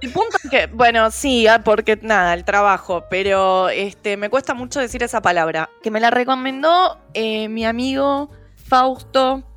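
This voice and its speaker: A woman's voice: fairly high pitch, moderate pace, fairly bright tone, soft background music. A woman with a Rioplatense Spanish accent, co-hosting a casual podcast chat, slangy and full of fillers. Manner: chatty, slangy, frequent fillers. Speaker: podcast co-host.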